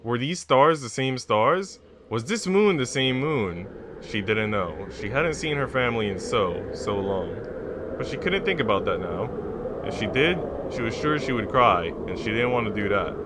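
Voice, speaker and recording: Man, game streamer, medium pitch, casual and chatty, jokey rambling, reads narration aloud, noticeable background noise. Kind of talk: casual livestream chat mixed with reading visual novel narration aloud.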